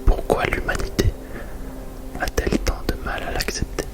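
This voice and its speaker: Whispered male space pilot, acted by a man, soft, deliberate, introspective, ASMR sci-fi roleplay, prominent music behind. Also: close to an everyday voice. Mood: pensive. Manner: soft, deliberate, hushed delivery.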